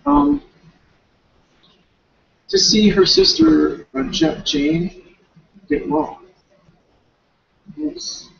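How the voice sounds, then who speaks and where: Slow, halting man with a husky, creaky voice. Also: extremely high pitch, sing-song. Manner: informal, slangy, halting retelling with self-corrections. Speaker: student reading a novel for a class paper. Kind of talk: casual, rambling study recap of a novel, talking to self. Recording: dull, lo-fi home recording.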